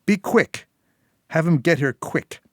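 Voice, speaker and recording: A man's voice: a little high, animated, takes it slowly, dry recording, clean studio sound, voice pushed up. A man voicing a volatile, menacing woman gangster in a story reading, taunting and sweary, with heavy emphasis. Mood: threatening.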